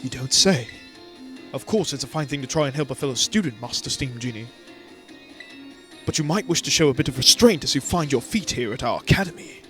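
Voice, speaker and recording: A man's voice: mid-range voice, animated, a little fast, fairly bright tone, faint music behind, natural voice. Stiff polite school director guy from a game, read out by a dude. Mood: formal. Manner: stiff formal read-aloud of game text.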